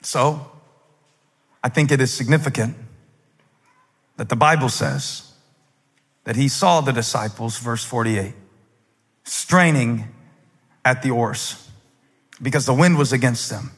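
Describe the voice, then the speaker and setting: A man in his forties preaching a sermon on a church stage into a handheld mic, telling a story and then building to loud, fervent emphasis. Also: mid-range voice, slow pace, pauses often, slightly rough. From United States. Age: 40s. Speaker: pastor. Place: large stage in a church hall. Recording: long hall reverb.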